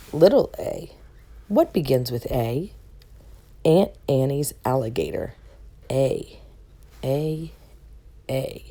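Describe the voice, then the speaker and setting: Slow, sing-song woman reading to children. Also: deep voice, mellow. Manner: deliberate, sing-song phrasing with questions and repeated letters. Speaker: children's book reader. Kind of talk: read-aloud of a rhyming alphabet picture book.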